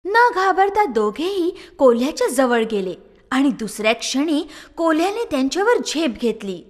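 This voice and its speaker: A woman narrates a children's story in a high voice with lively swings. A long reverb hangs on her words. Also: normal speed.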